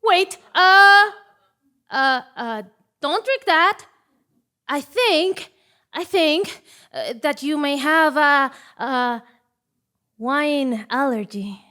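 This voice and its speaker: A woman's voice: high voice, animated, drawn-out words, long pauses, bright, forceful tone, exaggerated voice. A woman playing a scheming, insistent journalist who stammers theatrically in a comedic stage play. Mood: panicked. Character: flustered, scheming female journalist, fast-fibbing and insistent. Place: theatre stage before live audience.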